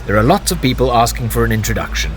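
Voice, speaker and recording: An actor playing a fussy, bossy, fashion-minded social fixer in an audio drama, talking in animated swoops from high to low. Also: male voice, fast talker, a little hiss or hum, lightly acted. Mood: brisk.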